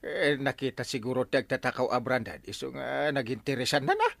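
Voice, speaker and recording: A man with an Ilocano accent playing an excitable, bossy village captain in a comic radio drama. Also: mid-range voice, a little fast, creaky, no echo. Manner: animated, exclaiming, bossy. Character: excitable middle-aged male village captain. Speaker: barangay captain.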